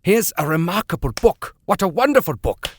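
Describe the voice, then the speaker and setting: Storybook character: man playing elderly German professor, wiry and bookish, raised pitch, exclaiming with enraptured enthusiasm. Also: sing-song, moderate pace, breathy edge, no echo, exaggerated voice. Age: older.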